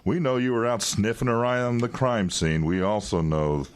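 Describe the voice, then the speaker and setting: An actor playing a wry, quietly menacing intelligence agent in an audio drama, talking in an insinuating, condescending way. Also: male voice, mid-range voice, moderate pace, clear, resonant tone, lightly acted. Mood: guarded, persuasive. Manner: insinuating, condescending, with chuckles and hesitations.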